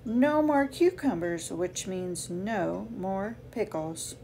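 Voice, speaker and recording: Slow-paced woman reading a children's book. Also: mid-range voice, vocal fry, warm tone, slight background noise. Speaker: picture book reader. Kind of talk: read-aloud children's storytelling.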